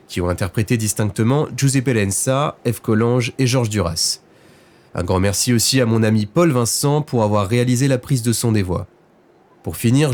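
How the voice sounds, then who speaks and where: A man's voice: medium pitch, darkish tone. A man giving a scripted spoken outro, reading the closing credits as the creator of an audio fiction. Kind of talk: scripted narration. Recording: music behind the voice. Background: music.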